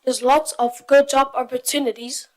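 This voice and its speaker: A woman's voice: sits high, flat delivery, normal speed. A young woman from Tonga reading a prepared presentation as part of a youth group.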